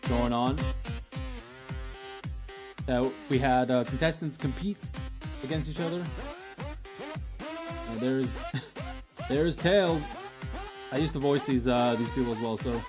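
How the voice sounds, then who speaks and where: A man's voice: mid-range voice, slow pace, long pauses, over music. A young adult man streaming, chatting casually with lots of fillers and restarts as he looks back on old videos. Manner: rambling, many fillers and restarts.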